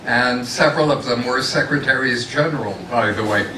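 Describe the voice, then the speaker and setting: A man speaking loudly from the floor at a forum without a microphone, sharing reminiscences. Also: medium pitch, fairly quick. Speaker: former institute president, guest speaker. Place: large conference room. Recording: echoey room from far off, faint noise.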